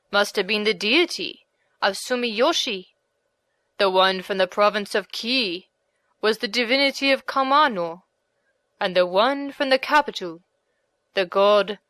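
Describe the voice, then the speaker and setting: A woman's voice: medium pitch, a bit bright, dry recording, close to an everyday voice. A woman voicing a brave, noble male warrior leader in an audiobook, with an earnest, commanding delivery. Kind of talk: audiobook character reading.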